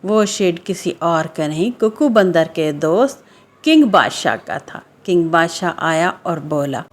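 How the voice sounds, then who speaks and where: Slow low-voiced woman storyteller. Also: animated. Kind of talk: scripted children's story narration.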